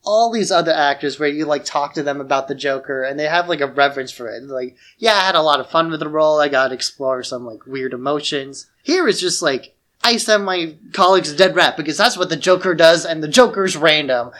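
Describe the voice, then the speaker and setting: A man's voice: on the high side, a little fast, fairly bright tone. A man co-hosting a podcast, giving casual analytical film commentary in loose conversational phrases full of fillers. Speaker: podcast co-host.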